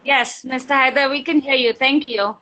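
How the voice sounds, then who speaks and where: A woman's voice: high-pitched, steady tone, normal speed, bright, forceful tone. A woman moderating an online webinar over a video call, polite and hesitant, with frequent ums. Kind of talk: hosting an online meeting.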